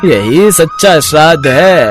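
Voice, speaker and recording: A man's voice: on the high side, lively intonation, slow. Man playing an earnest village priest over music. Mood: pious, earnest. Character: village priest preaching charity, earnest and pious.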